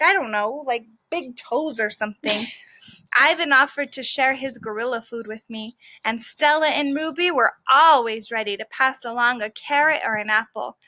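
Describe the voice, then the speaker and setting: A woman teacher reads a children's story aloud in a high voice, recorded close and dry. She is expressive, leans on key words, and breaks off to explain things to young listeners. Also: no room sound.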